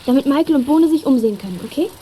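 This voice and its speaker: An actress playing a plucky, polite girl detective in a children's radio play, speaking briskly and earnestly. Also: high voice, lively intonation, dark tone, slight background noise. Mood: focused. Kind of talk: 1980s German children's radio play acting.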